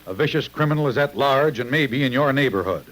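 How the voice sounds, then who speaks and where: A man's voice: mid-range voice, lively intonation, fast, very noisy. A man narrating a 1950s radio crime show as its editor-host, formal, dramatic and emphatic.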